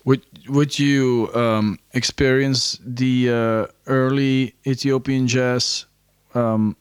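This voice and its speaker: A male interviewer with a smooth, clean voice eases into each question, pausing with ums and restarting his phrasing. He sounds dry and close to the mic, with no room around him. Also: mid-range voice, fairly even intonation, no room sound. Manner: slow, hesitant questions with many ums. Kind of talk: conversational interview.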